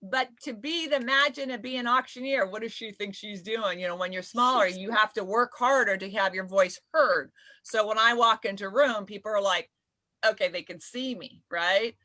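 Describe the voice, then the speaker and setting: A woman talking casually on a live panel video call, animated and quick to laugh. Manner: animated, laughs often, says she has a deep voice. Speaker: spokeswoman and panelist.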